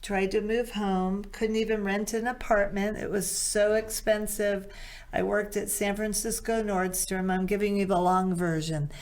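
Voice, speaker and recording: A middle-aged woman with a low, soulful voice, a singer chatting as an interview guest, rambling and backtracking as she talks. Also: flat delivery, a little slow, darkish tone. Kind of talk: casual interview chat.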